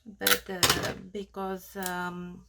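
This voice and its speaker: Slow Romanian-accented woman with a gravelly voice. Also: lowish voice, monotone, heavy background noise. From Australia. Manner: halting, searching for words, many fillers. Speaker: craft tutorial host. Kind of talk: crafting tutorial narration.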